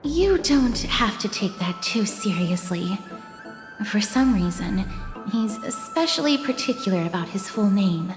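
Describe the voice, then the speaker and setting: A composed young woman from a video game, played by an actress, with a mid-pitched voice and courteous, measured phrasing. Her lines carry a roomy echo with music underneath. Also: expressive, lightly acted. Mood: calm, explanatory. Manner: polite, measured, formal. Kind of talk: scripted video game dialogue. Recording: echoey reverberant hall, music behind.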